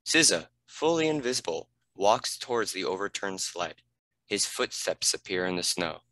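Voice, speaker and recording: A man's voice: deep voice, very animated, normal speed, bright, forceful tone, low-quality recording. A man giving scripted narration, reading out the stage directions of a screenplay.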